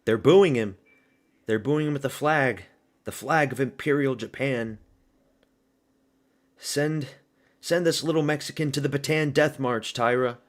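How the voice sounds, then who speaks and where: A man's voice: mid-range voice, a little slow, long pauses. A man from the American South hosting a fight watch party stream, talking loosely and profanely with his viewers. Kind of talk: casual live-stream commentary.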